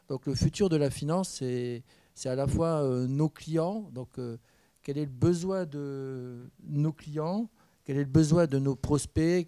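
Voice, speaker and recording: A man from France speaking on a conference panel, relaxed and joking, with many fillers. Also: mid-range voice, no room sound. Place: university conference room. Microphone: handheld mic.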